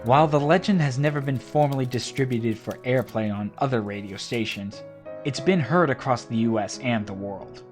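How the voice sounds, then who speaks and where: A male narrator reading a scripted account in an even, documentary manner. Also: mid-range voice, normal speed, over music.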